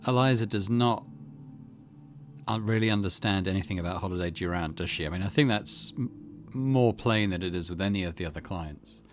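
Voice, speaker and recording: A man's voice: deep voice, brisk, over music. A man giving casual let's play commentary as a gaming host, musing sympathetically.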